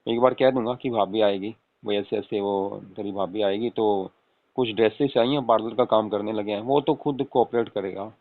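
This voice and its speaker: A man's voice: on the low side, vocal fry. A man playing an affectionate young husband on a casual late-night call, coaxing and often asking for agreement. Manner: coaxing, repeatedly seeking agreement with tag questions. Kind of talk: acted casual phone conversation with spouse. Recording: tinny phone-call audio with room echo.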